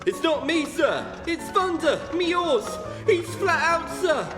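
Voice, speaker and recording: A woman's voice: very high-pitched, sing-song, takes it slowly, exaggerated voice. A woman playing a deferential, weary working-class male cab driver in a comic radio play, talking in a plodding manner. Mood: dutiful. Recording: echoey hall reverb, music behind.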